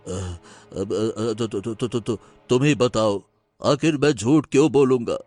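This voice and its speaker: An actor playing a frightened, defensive village man who stammers in an animated story. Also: medium pitch, unhurried, faint music behind, natural voice. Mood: nervous, defensive. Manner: nervous stammering, hesitant.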